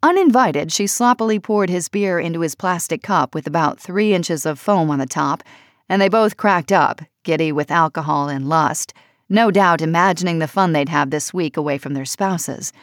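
Low-pitched woman narrating, very dry sound. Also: normal speed, no echo. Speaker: audiobook narrator reading an essay. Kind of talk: scripted narration, personal essay audiobook.

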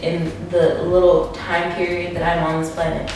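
Candid young woman guest, fairly low voice, echoey room, off-mic. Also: completely flat delivery, normal speed, darkish tone, far from the mic, slight background noise. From DMV area, US. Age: 20s. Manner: candid, talks with many likes and restarts. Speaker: podcast guest, model and DJ. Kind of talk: casual conversation. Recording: roomy echo, from off-mic.

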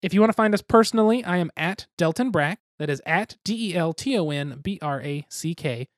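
A man's voice: high voice, animated, a little fast, no echo, clean studio sound. A young man co-hosting a board game podcast, talking casually in a rambling, self-deprecating, jokey way. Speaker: podcast co-host.

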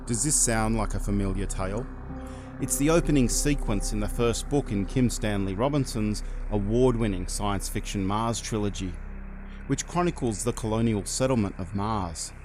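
A man presenting scripted narration, in a measured storytelling delivery. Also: lowish voice, over music.

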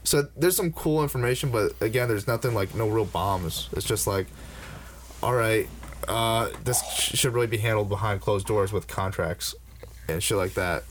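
A man's voice: lowish voice, moderate pace. A man in his early twenties hosting a casual podcast, rambling with fillers and swearing. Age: early 20s. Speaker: podcast host.

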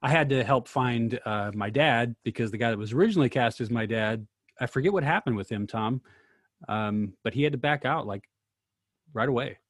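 A man's voice: medium pitch, moderate pace, no room sound, studio quality. A man speaking casually in a group discussion, as a host and actor telling stories.

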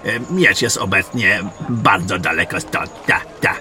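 Man acting muttering, forceful old-soldier general. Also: low-pitched, unhurried, faint music behind, full character voice. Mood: evasive, amused. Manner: hesitant, muttering, repeats himself, chuckling. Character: jovial, gruff, evasive veteran general fond of beer. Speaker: voice actor in an audio drama. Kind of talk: fantasy audio drama acting.

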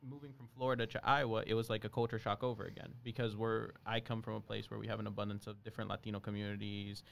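A man's voice: fairly low pitch, level tone, fast, gravelly, fairly bright tone. A young man from Brazil whose first language is Portuguese, a medical student talking earnestly and chattily as a guest. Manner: earnest, chatty with frequent laughs. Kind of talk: casual conversation.

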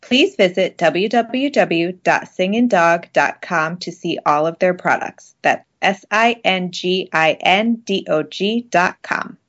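A woman reading a podcast sponsor ad in a clear, measured way and spelling out a web address. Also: lowish voice, fairly bright tone, muffled recording. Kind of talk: sponsor read.

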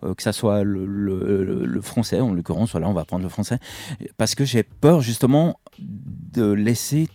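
Male host, dark mellow tone, dry close sound, rambling. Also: fairly low pitch, moderate pace, dry recording. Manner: rambling, chatty, many fillers. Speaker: radio host. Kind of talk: casual interview questions.

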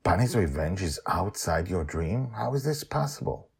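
A man's voice: low-pitched, expressive, moderate pace, gravelly, darkish tone, husky, exaggerated voice. A man voicing an earnest, admiring young French woman athlete in an audio story. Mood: bewildered.

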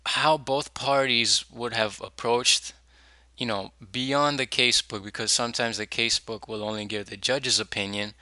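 A young man, a law student, introducing himself on a podcast in an earnest, measured and articulate way. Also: lowish voice, bright, forceful tone, clear, resonant tone, no echo.

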